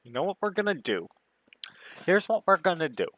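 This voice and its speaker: A man with a somewhat deep voice chatting casually to himself as he streams a game, rambling and musing. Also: very animated, a little fast, fairly bright tone, dry recording. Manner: rambling, self-musing talk. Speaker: gaming livestreamer. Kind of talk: casual solo gaming stream chat.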